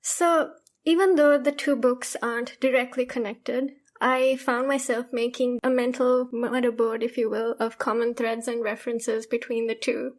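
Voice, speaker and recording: Even-toned Sri woman host. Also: on the high side. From Sri Lanka. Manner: measured and composed, delivering long framed questions. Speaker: podcast host and interviewer. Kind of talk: prepared interview questions.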